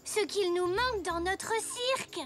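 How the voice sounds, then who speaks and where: An actor voicing an eager, playful young zebra child in a French children's cartoon, sounding bright and enthusiastic. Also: very high voice, a little slow. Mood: excited, playful. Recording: music behind the voice. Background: children's cartoon music.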